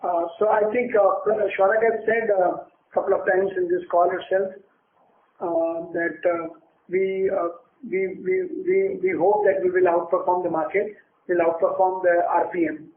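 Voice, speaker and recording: A man's voice: high-pitched, flat delivery, a little slow, phone-quality sound. An Indian-accented man, a company executive answering questions on an earnings call in a plain-spoken way, often repeating phrases. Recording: roomy, noisy phone conference line.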